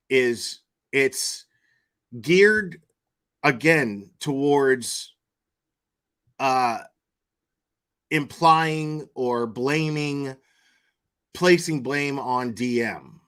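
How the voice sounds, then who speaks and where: Man, medium pitch, very slow pace, emphatic, halting, repeats words, opinionated vlog commentary. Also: animated, fairly bright tone. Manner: emphatic, checks with "okay?" and "right?". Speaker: commentary vlogger. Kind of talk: opinionated off-the-cuff true crime commentary.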